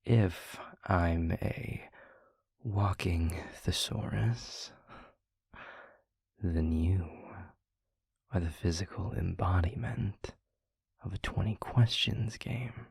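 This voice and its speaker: A man playing a tender, eloquent demon lover in an intimate audio roleplay, with a breathy, husky, gravelly murmur and florid, deliberate phrasing. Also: sits low, no room sound, heavily acted. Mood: wry, playful.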